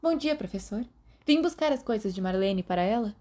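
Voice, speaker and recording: A woman with a Brazilian Portuguese accent voicing a caring, brisk young witch, worried but taking charge. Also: mid-range voice, expressive, darkish tone, voice pushed up, natural voice. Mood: anxious, brisk. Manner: worried, then firm and take-charge.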